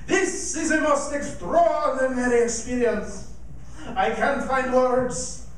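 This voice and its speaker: A man acting a frightened radio reporter giving a halting, breathless eyewitness account in an old-time radio drama performed on stage. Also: extremely high pitch. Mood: urgent. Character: frightened radio reporter witnessing an alien emerge. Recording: very echoey theatre stage, distant, faint noise.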